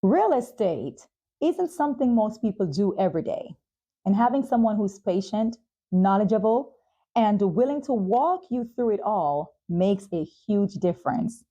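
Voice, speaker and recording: Female podcast host, mid-pitched and mellow, polished delivery for sponsor reads and interviews. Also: sing-song, measured pace, lo-fi sound. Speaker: talk show host.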